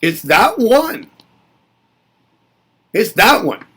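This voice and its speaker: A man's voice: high-pitched, big pitch swings, very slow, fairly bright tone, breathy edge. A man giving a reflective spoken lesson, musing aloud and asking himself rhetorical questions before answering them. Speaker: presenter.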